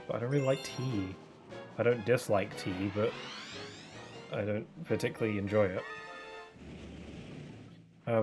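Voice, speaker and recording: A young man chatting casually while streaming a video game, rambling with lots of fillers and restarts. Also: medium pitch. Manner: rambling, soft-spoken, many fillers and restarts. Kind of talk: casual chat while gaming. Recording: game music playing behind.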